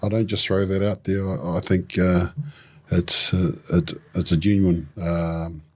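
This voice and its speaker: Samoan-born man with a low baritone. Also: unhurried. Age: 40s. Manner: relaxed, joking, with fillers and restarts. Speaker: podcast guest. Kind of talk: casual interview.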